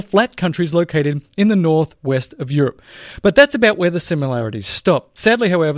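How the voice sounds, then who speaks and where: A man's voice: on the high side, no echo, clean studio sound. A man co-hosting a podcast in casual chat, reading listener mail aloud and laughing often. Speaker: podcast co-host.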